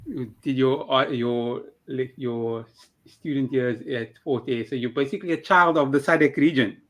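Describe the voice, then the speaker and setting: A young man hosting an interview podcast, running through an upbeat intro and then asking questions in a relaxed way. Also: mid-range voice, normal speed, low-quality recording. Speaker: podcast host.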